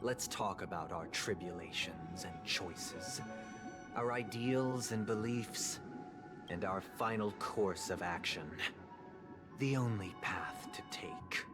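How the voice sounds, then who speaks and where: An actor playing a composed, self-righteous young male leader in a video game, speaking in formal, measured oratory. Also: deep voice, music in the background. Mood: courteous, resolute. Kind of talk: dramatic video game dialogue.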